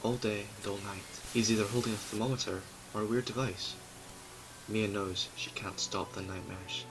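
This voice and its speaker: A man narrating a fan audio drama in a deliberate, ominous manner, sometimes dropping toward a whisper. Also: lowish voice, steady tone, noisy background. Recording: music playing behind.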